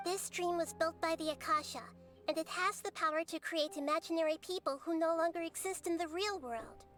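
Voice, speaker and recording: Casting: a tiny wise girl goddess, very high and calm, measured and analytical, video game. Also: faint music behind. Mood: calm probing. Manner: composed, gently analytical. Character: small girl goddess of wisdom, calm, perceptive. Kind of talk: game cutscene dialogue.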